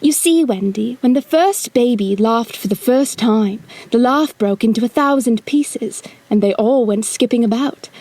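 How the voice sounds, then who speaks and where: A cocky, playful boy who refuses to grow up, acted by a woman. The voice is fairly high and takes its time, recorded close and dry. The delivery is theatrical, suited to an audio drama. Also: a little slow, few pauses, dark tone, no room sound, rough recording. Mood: wistful, confiding. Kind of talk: audio drama acting, also narrating own stage actions.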